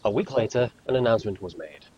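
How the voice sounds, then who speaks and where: A man narrating a scripted storybook tale in measured phrases with dramatic emphasis. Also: lowish voice, lively intonation, mellow, slightly rough, dull audio.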